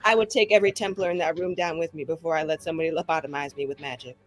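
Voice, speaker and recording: A woman's voice: low voice, fairly even intonation, rapid-fire, soft background music. A woman chatting casually as a tabletop player, emphatic and joking with some swearing. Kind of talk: casual group chat.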